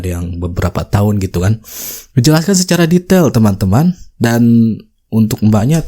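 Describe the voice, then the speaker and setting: A man's voice: sits low, dark tone, breathy edge. A man hosting a reaction video, talking chattily and straight to his viewers. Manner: chatty, addresses viewers directly. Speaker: channel host reacting to clips. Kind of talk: reaction commentary.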